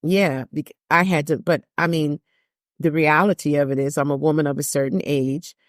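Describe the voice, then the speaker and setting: A woman's voice: fairly even intonation, normal speed, no echo, clean studio sound. An older woman, a jazz singer, talking candidly as a podcast guest and stressing her points by repeating them. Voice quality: deep voice. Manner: emphatic, repeats phrases for stress.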